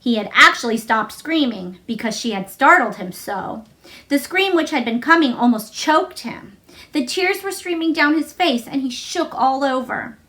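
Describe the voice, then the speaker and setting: A woman narrates a children's story aloud. Her voice is high and bright. She reads scripted prose expressively, as a storybook reader. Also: expressive, moderate pace.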